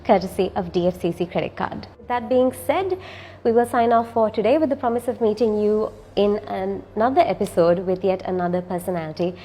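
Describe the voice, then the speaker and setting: A woman's voice: mid-range voice, a little fast, mellow, a little hiss or hum. A woman hosting an interview show, politely asking questions and reading out a contest. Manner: polite, scripted host sign-off. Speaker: show host.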